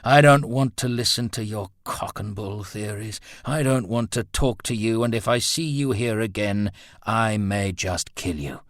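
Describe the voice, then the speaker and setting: A man reading the part of a gruff, blunt, hot-tempered but protective uncle in a narrated story. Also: lowish voice, unhurried, no echo, clean studio sound, pitched above the performer's own voice, lightly acted. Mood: furious, threatening. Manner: growling, blunt, threatening or gently protective.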